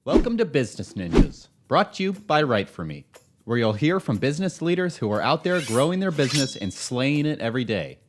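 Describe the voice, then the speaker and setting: A man's voice: medium pitch, big pitch swings, a little fast, noisy background. A man reads a scripted promotional show intro as an announcer, with music playing behind him. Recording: voice-over on a music bed.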